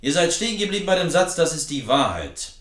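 A fairly high male voice in an echoey room, playing a brisk, impatient man in a radio play. He hurries his younger colleague along. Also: sing-song, over loud music. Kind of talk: radio drama acting.

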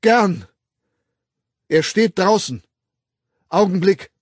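A man reading an audiobook voices a defiant young man who answers his boss loudly and eagerly. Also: sits high, lively intonation, extremely slow, no echo, studio quality, voice pushed up, exaggerated voice. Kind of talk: audiobook character voice.

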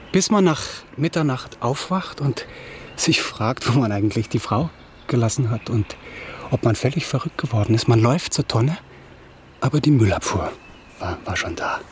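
A man's voice: mid-range voice, expressive, normal speed, a little hiss or hum, close to an everyday voice. A man acting a distraught, self-pitying young writer in a German radio drama, rambling and repeating words as he stammers. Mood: dazed, rambling. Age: young adult.

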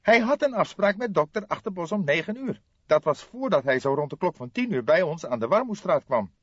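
An excitable young police detective in a crime audiobook. The voice is pushed high and loud, impulsive and full of suspicion, in a close, dry, slightly dull recording. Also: male voice, brisk, husky, no room sound. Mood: agitated, suspicious. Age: young adult. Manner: impulsive, exclamatory, questioning. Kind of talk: audiobook character dialogue.

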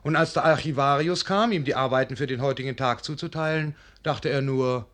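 An actor as an urbane, expressive narrator telling a literary tale in a radio drama. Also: male voice, mid-range voice, fairly quick, natural voice. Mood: wry, measured. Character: urbane, expressive male storyteller.

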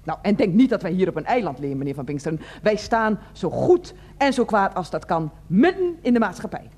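An actress playing an older, self-righteous head of a residents' association in a radio play, wheezy, formal and repetitive. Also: female voice, mid-range voice, big pitch swings, a little fast, a little mellow, slightly rough. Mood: indignant. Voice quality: wheezy, asthmatic breathing. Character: fussy, indignant older woman heading a residents' association. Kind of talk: Dutch radio play acting.

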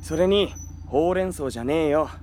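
A man's voice: on the high side, fairly quick, darkish tone, a little hiss or hum, lightly acted. Brash, cocky man acting a police detective. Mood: defiant. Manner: rough, slangy, cocky. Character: brash, hot-headed male police detective. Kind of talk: Japanese audio drama acting.

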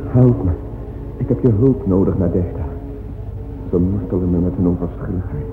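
An actor playing a despairing, exhausted composer in a radio drama, imploring with anguish. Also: male voice, deep voice, normal speed, close to an everyday voice. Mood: desperate. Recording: echoing, phone-like old radio recording. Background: music.

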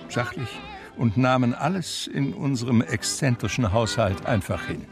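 An actor playing a reflective man who tells his life story in measured literary radio drama narration. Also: medium pitch, natural voice. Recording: music under the voice. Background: music.

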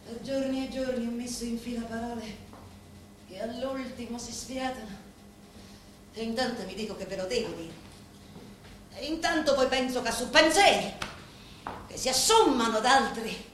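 A woman's voice: fairly high pitch, moderate pace, pauses often, lightly acted. Echoey, proud Sicilian woman, played by an actress. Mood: resolute. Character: proud, determined young Sicilian woman bargaining with a crime boss. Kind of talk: theatrical monologue in a radio play. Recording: very echoey room, faint background noise.

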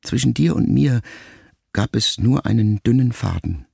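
An actor playing a pensive, melancholy grown son in a radio drama monologue, speaking to his mother in a gravelly, measured, intimate way. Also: medium pitch, expressive, dark tone, no room sound, clean studio sound, heavily acted. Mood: reflective, melancholy. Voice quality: gravelly, creaky.

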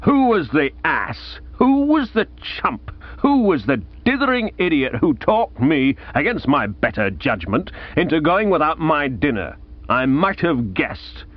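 A man's voice: mid-range voice, sing-song, moderate pace, lo-fi sound. A man voicing an exasperated middle-aged aunt who scolds her nephew theatrically. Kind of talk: audiobook character voice.

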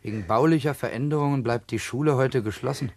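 A man's voice: mid-range voice, fast, a little mellow, natural voice. An actor playing a playful, romantic grown man posing as a schoolboy in a German radio play, dryly teasing. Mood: surprised, friendly. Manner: dry, teasing. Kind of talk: German radio drama acting.

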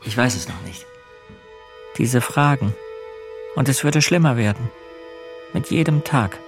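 Music plays behind a lonely, timid middle-aged husband in a radio drama. His voice is mid-pitched, and he speaks in a hesitant, subdued, melancholy way. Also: expressive, unhurried. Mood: evasive, subdued. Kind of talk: German radio play acting with introspective narration.

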